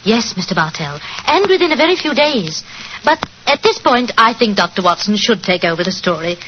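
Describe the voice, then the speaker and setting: A woman's voice: medium pitch, big pitch swings, brisk, natural voice. An actress playing a composed young woman narrating her childhood memories in a 1940s radio drama. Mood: reminiscent, composed. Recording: muffled 1940s radio recording, faint noise.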